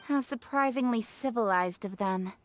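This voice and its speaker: A woman voicing a proud, candid young official in a video game, speaking briskly and formally with self-assurance. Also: a little high, natural voice. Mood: skeptical, blunt. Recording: music behind the voice.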